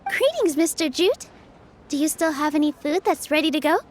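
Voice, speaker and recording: A woman voicing a kind, polite young female dancer who speaks softly, in a video game. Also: high voice, sing-song, normal speed, a little hiss or hum. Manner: soft-spoken, polite and apologetic. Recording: game audio with faint background music.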